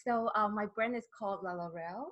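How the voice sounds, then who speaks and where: A woman's voice: mid-range voice, fast talker, fairly bright tone, highs cut off. A woman in her thirties from southern China, speaking English with many fillers and restarts as a guest in a casual interview. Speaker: skincare brand founder, guest.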